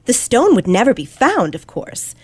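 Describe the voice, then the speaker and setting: A woman playing a confident, sharp teenage girl detective in an old-fashioned radio play, with precise, theatrical delivery. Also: a little high, huge pitch swings, normal speed, slightly rough, no room sound, full character voice. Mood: explaining, relishing. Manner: theatrical, precise, dramatic emphasis.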